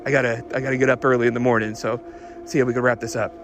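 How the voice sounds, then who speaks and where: An actor playing an obsessed, increasingly unhinged car tinkerer recording a rambling, halting audio diary for an audio drama. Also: male voice, mid-range voice, little pitch movement, lightly acted. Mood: agitated, defiant. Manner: halting, nervous, repeating himself, muttering fixations. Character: obsessed man secretly lowering his car, increasingly unhinged.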